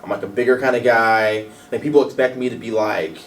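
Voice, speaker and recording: Deep-voiced man, hesitant radio talk. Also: fairly even intonation, brisk, recorded from a distance. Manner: hesitant, many fillers and restarts. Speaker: panel guest. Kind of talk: candid radio panel chat. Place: radio studio.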